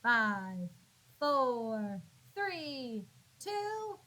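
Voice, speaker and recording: A woman with a fairly high voice reads a picture book aloud for young children. She goes very slowly, stretching words out and giving the narration dramatic, teacherly expression. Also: very animated, bright, forceful tone. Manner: dramatic, drawn-out words and sound effects for young listeners. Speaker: classroom teacher reading aloud.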